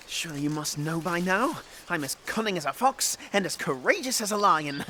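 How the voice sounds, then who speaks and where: A woman's voice: on the low side, expressive, normal speed, fairly bright tone, a little hiss or hum. A performer playing a boastful, cunning young boy prince in an audio drama, grand and playful in manner. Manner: grandiose, mock-heroic.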